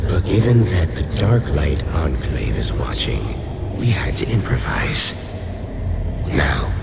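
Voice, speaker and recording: A man playing an ancient, commanding undead lich in a dark fantasy radio play, speaking formally with calm, dry condescension. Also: a bass voice, gravelly, heavily acted. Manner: slow, imperious, formal, drily sardonic. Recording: loud music under the voice.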